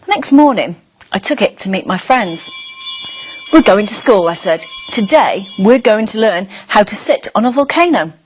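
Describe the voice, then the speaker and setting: A woman plays a friendly young dragon telling a storybook tale. The delivery is wide-eyed and very animated, in a mid-pitched voice that swings up and down and stretches out key words. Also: husky, faint music behind, low-quality recording. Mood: amazed, puzzled. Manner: expressive, wide-eyed storytelling with drawn-out emphasis.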